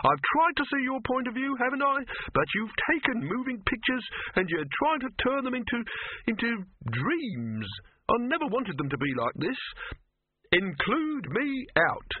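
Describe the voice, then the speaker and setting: Guy doing a fussy indignant alchemist for a storybook, really high n swoopy, stiff and spluttery, tinny audio. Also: animated, a little fast, few pauses, slight background noise, close to an everyday voice. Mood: stiff indignation. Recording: narrow phone-like radio sound.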